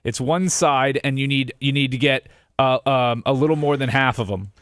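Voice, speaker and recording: A man's voice: mid-range voice, moderate pace, fairly bright tone, smooth, dry recording, clean studio sound. An American man on a talk show, casually explaining court procedure.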